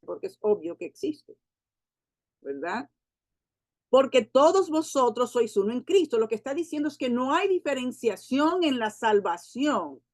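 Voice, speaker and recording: Dominican woman Bible teacher, fairly high voice, dry close sound, slows for scripture, quick asides. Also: no room sound. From Dominican Republic. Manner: slows and lifts her voice for scripture, quick asides, frequent tag questions. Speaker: Bible teacher at a women's gathering.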